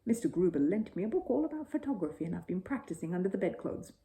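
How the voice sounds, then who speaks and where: A woman's voice: lowish voice, sing-song, fast talker, warm tone. A woman voicing an earnest, matter-of-fact small male bear in a children's bedtime story. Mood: earnest, decided.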